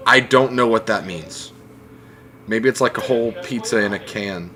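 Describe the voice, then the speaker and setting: A man's voice: fairly low pitch, moderate pace, crowd noise. A man talking casually as a guest on a group podcast. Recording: faint background noise.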